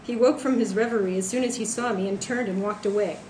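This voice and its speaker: A woman's voice: medium pitch, fairly even intonation, fast talker, a little mellow. A woman author reading aloud from her own novel in a literary style. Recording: echoey room, faint background noise.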